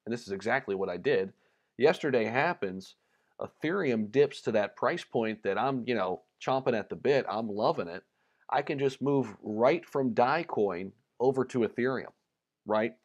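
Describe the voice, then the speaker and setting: A man's voice: medium pitch, moderate pace, muffled recording. A man from the United States hosting a casual crypto livestream, reading viewer comments with lots of ums and repeats, his voice a little worn. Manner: relaxed and chatty, many ums and repeated words. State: slightly losing his voice.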